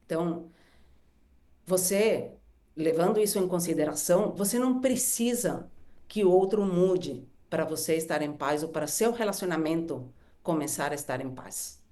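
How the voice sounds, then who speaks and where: A woman facilitator on a live stream, speaking gently and reflectively about relationships, often ending thoughts with soft tag questions. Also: lowish voice, animated, moderate pace. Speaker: workshop facilitator. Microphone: live stream from home.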